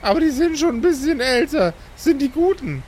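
A man's voice: very high-pitched, big pitch swings, normal speed, a little mellow. A man voicing an obliging, literal-minded fish seller at a harbour in an improvised tabletop roleplay, doggedly upselling. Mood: obliging, oblivious.

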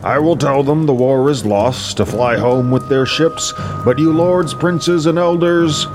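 A man voicing a proud, commanding ancient Greek king in an epic audio drama, with loud, declamatory oratory. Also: mid-range voice, unhurried, over music, voice pushed up, close to an everyday voice. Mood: scheming, commanding.

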